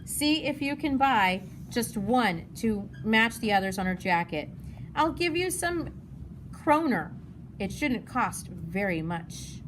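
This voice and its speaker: A woman voicing a gentle, caring mother of young girls in a storybook. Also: a little high, animated, takes it slowly, a little hiss or hum, low-quality recording. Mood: brisk, concerned.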